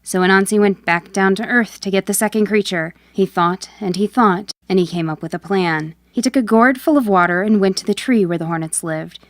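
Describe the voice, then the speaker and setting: A woman hosting and narrating a scripted folktale in a clear, measured storytelling manner. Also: medium pitch, dry recording. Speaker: host and narrator.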